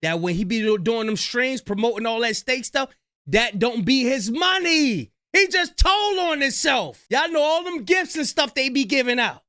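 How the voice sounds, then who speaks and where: A man doing reaction commentary in a slangy, animated way, talking straight to his viewers. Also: extremely high pitch, normal speed, dry recording, studio quality.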